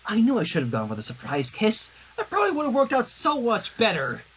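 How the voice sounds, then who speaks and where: Jealous schoolgirl played by a story reader, high, husky, dramatic and wounded. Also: wildly swooping pitch, a little fast, fairly bright tone, heavily acted. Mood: indignant. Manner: pleading, indignant, dramatic. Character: jealous, hurt, lovesick young student girl.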